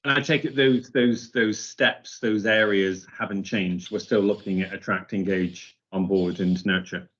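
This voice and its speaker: A man's voice: on the low side, fairly even intonation, unhurried, few pauses, fairly bright tone. A man in a casual business conversation, heard through dull, lo-fi sound.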